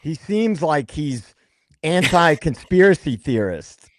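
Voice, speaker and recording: A Brooklyn-born man co-hosting a livestream, telling animated stories and repeating words as he builds up. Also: fairly high pitch, slow pace, no echo. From Brooklyn, New York, United States. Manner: animated storyteller who repeats words when he gets going. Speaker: co-host of a true crime livestream. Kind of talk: casual livestream chat.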